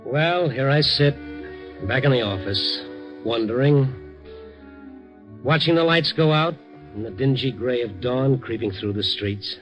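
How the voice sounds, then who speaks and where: A man's voice: mid-range voice, animated, unhurried, pauses often, natural voice, low-quality recording. Night-shift newsman for radio drama played by a male actor: mid-range, weary, humane, music-backed vintage recording. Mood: somber, reflective. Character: world-weary, compassionate newsman narrating his night beat. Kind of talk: old time radio drama acting.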